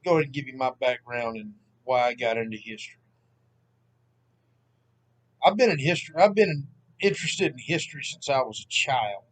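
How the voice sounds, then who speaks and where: A man in his thirties with a Southern Mississippi accent, chatting casually at home as the host of a history channel, relaxed and rambling. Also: mid-range voice, normal speed, long pauses. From Southern United States (Mississippi). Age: 30s. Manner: rambling, relaxed, self-deprecating. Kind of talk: casual vlog chat. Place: at home, sitting.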